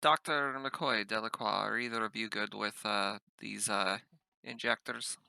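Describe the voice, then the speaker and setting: A man playing a tabletop roleplaying game, casually and somewhat tentatively planning out loud. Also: on the low side, steady tone, measured pace, creaky, dry recording.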